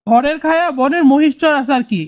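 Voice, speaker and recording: Woman playing scolding cartoon mum, Bangladeshi accent, high voice, dry close sound, admonishing. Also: brisk, no echo, dull audio, close to an everyday voice. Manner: nagging, admonishing. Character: scolding, worried mother of a grown son. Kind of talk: cartoon dialogue.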